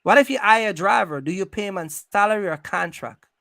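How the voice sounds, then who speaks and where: A man hosting an animated advisory conversation about business, speaking emphatically, repeating himself and often checking in with questions. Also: sits high, fairly quick, creaky, dry recording, studio quality. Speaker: host giving business advice to truck owners.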